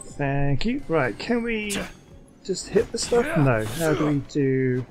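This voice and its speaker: A man's voice: a little high, very animated, slow pace. A man giving casual gameplay commentary, hesitant and talking half to himself. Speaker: gaming YouTuber. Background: game music.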